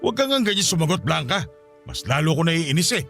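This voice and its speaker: A man's voice: fairly high pitch, very animated, brisk, creaky, fairly bright tone, faint music behind, full character voice. A man with a Filipino accent playing an irritable married man who scolds and blames his mistresses in a radio drama. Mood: angry, scolding. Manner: exasperated, scolding.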